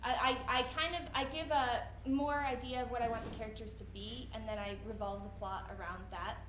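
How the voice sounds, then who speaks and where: A young woman writer answering audience questions off the cuff, often halting and restarting her thoughts. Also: on the high side, steady tone, a bit bright. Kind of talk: unscripted question-and-answer talk after a reading. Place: indoor room at a college event. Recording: roomy echo, faint background noise.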